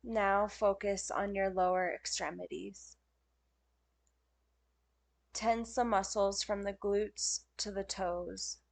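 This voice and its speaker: Slow-paced woman guiding meditation. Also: mid-range voice, steady tone, creaky. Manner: gentle, unhurried, soothing instruction with pauses. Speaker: meditation teacher. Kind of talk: guided yoga nidra meditation.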